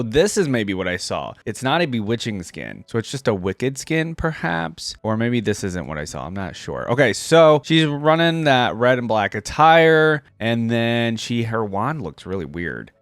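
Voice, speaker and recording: A man's voice: lowish voice, no echo. A man giving casual, unscripted reaction commentary, thinking aloud in an informal way as he goes. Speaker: reaction video host.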